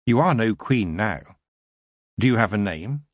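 A man reading an audiobook, voicing a firm young witch girl who speaks with measured authority. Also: on the low side, lively intonation, no room sound.

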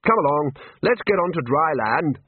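A man voicing a loud, brisk, commanding old wizard leader in a comic fantasy audiobook. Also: fairly high pitch, big pitch swings, husky, dry recording, phone-quality sound, voice pushed up. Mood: hearty shouting.

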